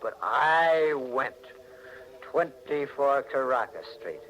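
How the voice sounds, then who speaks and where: A man's voice: mid-range voice, expressive, slow pace, fairly bright tone, faint music behind. An actor playing a wary, hard-boiled American man in a 1940s radio mystery, terse and dramatic, with an American accent. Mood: wary, tough. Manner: terse, dramatic, tough-guy delivery. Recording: noisy old 1940s radio recording.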